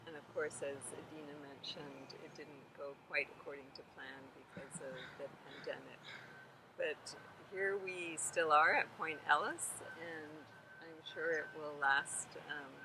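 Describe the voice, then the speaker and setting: Woman writer with a low voice introducing her book, kinda halting and informal. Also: noisy background. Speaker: author presenting her novel.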